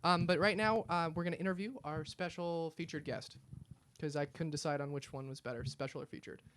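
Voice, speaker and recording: A man's voice: on the high side, steady tone, fairly quick, fairly bright tone, slight background noise. A young man hosting a radio show with casual, unscripted interviewing. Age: young adult.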